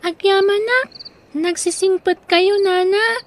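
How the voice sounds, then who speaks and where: A woman's voice: extremely high pitch, fairly even intonation, slow, slight background noise. A woman playing a timid, mistreated little girl in a radio drama, with an Ilocano Filipino accent. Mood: timid, grateful.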